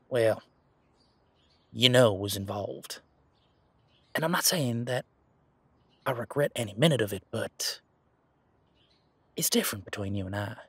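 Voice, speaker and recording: A man playing an easygoing, protective werewolf bodyguard in a roleplay audio drama, chatting in casual, halting, folksy phrases. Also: medium pitch, creaky, husky, no echo, full character voice. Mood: earnest, hesitant. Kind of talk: roleplay audio drama, conversational monologue to listener.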